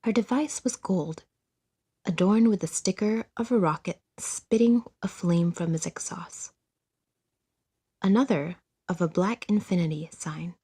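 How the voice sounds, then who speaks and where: Husky, mellow woman narrating fiction. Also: fairly low pitch. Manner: measured, storytelling delivery. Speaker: audio drama narrator.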